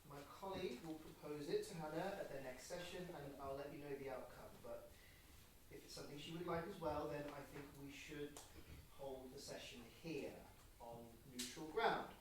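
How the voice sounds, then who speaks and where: An actor playing a calm, supportive counsellor leaving a measured, careful voicemail in a drama. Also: male voice, fairly high pitch, lightly acted. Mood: reassuring. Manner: measured, reassuring, careful pauses. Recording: very echoey room, distant mic, clear noise.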